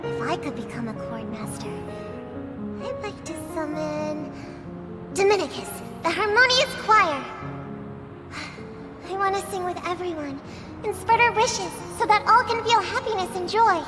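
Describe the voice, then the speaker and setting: Very high, sweet little girl. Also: expressive, measured pace, pauses often, music in the background. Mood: hopeful, innocent. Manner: earnest, innocent. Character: sweet, hopeful little girl, younger sister. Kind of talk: scripted game dialogue. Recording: reverberant flashback-like hall echo.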